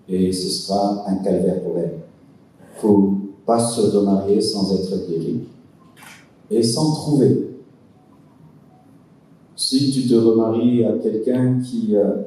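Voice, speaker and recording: A man gives earnest, sermon-like advice to couples, pausing for emphasis. His voice is low and very dark. He is heard from a distance in a strongly echoing hall, with a faint hiss behind him. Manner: earnest, preaching with emphatic pauses. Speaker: pastor answering couples' questions. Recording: echoey hall from far off, faint noise.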